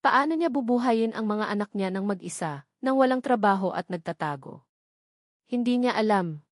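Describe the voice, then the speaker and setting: Female narrator for dramatic love stories: solemn scripted delivery, medium pitch, very dry sound. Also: animated, moderate pace, no echo.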